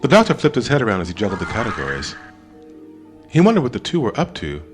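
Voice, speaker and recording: A man narrating an audiobook in a dramatic scripted style, expressive, with deliberate pauses. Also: lowish voice, over music.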